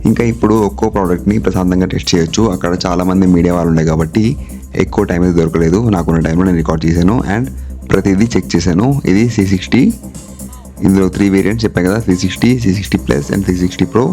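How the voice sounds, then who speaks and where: A man's voice: deep voice, steady tone, racing, dark tone, faint music behind. A man with a Telugu accent narrating a product review vlog, speaking directly and mixing English terms into his talk. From India. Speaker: vlogger.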